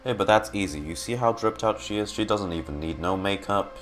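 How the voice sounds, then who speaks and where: A man streaming casual game reaction commentary, excitable and often repeating his words. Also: on the low side, fairly quick, faint music behind.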